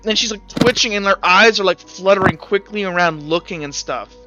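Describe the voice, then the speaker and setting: A man's voice: sits high, a little fast, bright, forceful tone, dull audio, soft background music. A man narrating as game master for a tabletop roleplay, rambling with many fillers.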